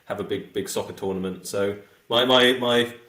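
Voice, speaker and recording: This is a middle-aged man from the south coast of England with a low voice. He speaks in a relaxed, halting way, full of ums and restarts. His voice bounces around an echoey room. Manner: relaxed, hesitant, many fillers and restarts. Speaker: guest. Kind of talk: casual podcast interview.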